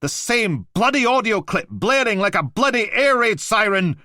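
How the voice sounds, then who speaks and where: A man voicing an overwrought male police sergeant who shouts and rants. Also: high voice, big pitch swings, normal speed, dry recording, studio quality, pitched above the performer's own voice, full character voice. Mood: furious. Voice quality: shouting, ranting.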